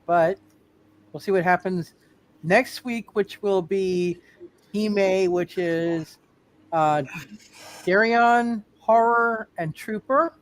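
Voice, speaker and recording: Male game master, high-pitched voice, casual, halting, repeats phrases. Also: slight background noise. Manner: halting, repetitive, circling back. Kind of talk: casual sign-off talk on live roleplay show.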